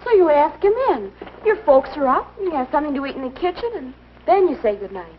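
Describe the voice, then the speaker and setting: A woman's voice: high voice, lively intonation, brisk, slight background noise. A woman playing a sensible, earnest teenage girl in a 1950s instructional film, speaking carefully. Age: young adult. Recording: muffled old educational film soundtrack.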